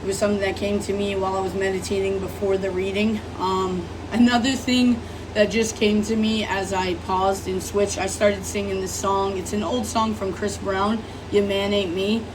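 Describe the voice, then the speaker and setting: Woman tarot host, hoarse from illness, loose and rambling, distant mic, audible background hum. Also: medium pitch, little pitch movement, normal speed. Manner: rambling, apologetic, many fillers. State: sick, rough smoker-like voice. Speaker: tarot reader. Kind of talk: casual tarot reading monologue.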